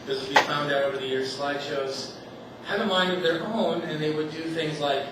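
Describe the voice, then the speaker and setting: A man with a slightly high voice tells a loose, self-mocking story from a stage and often laughs at his own jokes. He sounds far from the mic, in a hall that echoes heavily, with a steady hiss of noise behind him. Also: fairly quick. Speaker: stage storyteller at a community event. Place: theater stage before a live audience.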